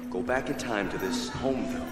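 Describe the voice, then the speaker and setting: An actor playing an ominous voice of fateful counsel, portentous and deliberate, in old-time radio drama style. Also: male voice, on the low side, lightly acted. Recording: distant, echoey reverb under loud music.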